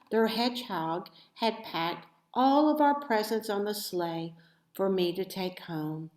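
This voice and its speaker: A woman reading a children's storybook aloud as expressive narration, with dramatic, deliberate phrasing. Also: on the low side. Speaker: storybook reader. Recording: echoey room.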